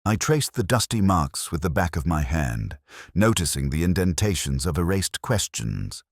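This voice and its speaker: A man narrating a first-person detective story in a measured, solemn, literary style. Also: low voice, no echo, clean studio sound. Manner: measured, solemn, formal delivery.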